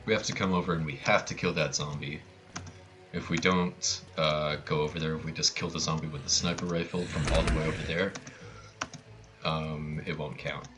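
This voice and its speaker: A man's voice: extremely low pitch, flat delivery, normal speed. A man giving casual live commentary on his own video game speedrun, with playful, joking asides.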